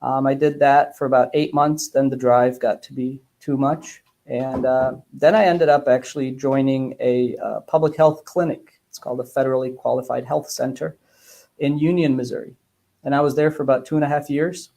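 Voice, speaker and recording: A man in his thirties giving measured, casual interview answers with hesitant pauses. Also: mid-range voice, fairly even intonation, vocal fry, mellow. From Bosnia, grew up in Germany and the United States. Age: 30s. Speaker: guest, dentist.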